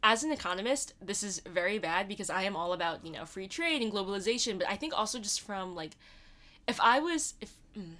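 A woman's voice: mid-range voice, expressive, a little fast, fairly bright tone. A young woman, co-hosting a podcast in rambling casual chat with many hesitant "um" fillers. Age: young adult. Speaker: podcast co-host.

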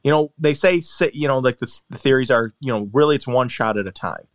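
A man's voice: medium pitch, a little fast, dry recording. A man chatting casually on a podcast, telling long, rambling, self-deprecating stories into a close mic. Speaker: golfer guest and regular of the podcast.

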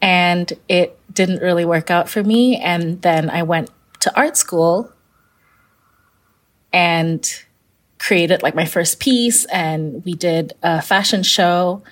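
A woman's voice: fairly low pitch, takes it slowly. A young woman from Malaysia, a fashion designer answering interview questions in a thoughtful, hesitant way. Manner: thoughtful, many fillers and restarts.

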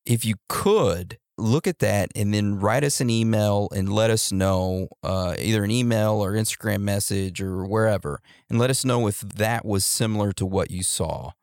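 A man's voice: fairly low pitch, normal speed, hardly any pauses, no room sound, studio quality. A young man hosting a casual podcast, rambling and laughing easily as he answers listener messages. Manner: warm, rambling, laughs often. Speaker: podcast host.

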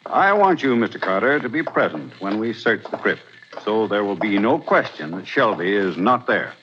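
A man's voice: on the low side, very animated, moderate pace, a bit bright. An actor playing an earnest, scholarly male archaeology professor who explains things in a 1940s radio drama. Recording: dull 1940s radio recording, faint hiss.

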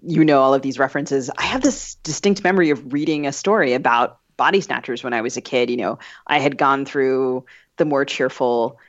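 A woman's voice: rumbling low, a little fast, no room sound. Female podcast co-host, reflective conversational storyteller, close and dry, slightly dull audio. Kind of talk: casual conversational anecdote.

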